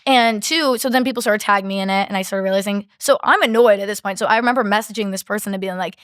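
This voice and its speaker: A young woman hairstylist chatting casually in an interview, with a slightly deep voice and a lot of "like" in her talk. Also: fast, fairly bright tone, dry recording, studio quality. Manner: chatty, heavy use of "like", laughs often. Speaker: hairstylist guest.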